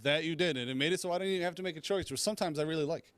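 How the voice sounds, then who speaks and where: A man's voice: a little high, very fast, fairly bright tone, clear, resonant tone, no room sound. A man hosting a podcast in a casual, rambling and informal way as he debates movies. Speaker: podcast host.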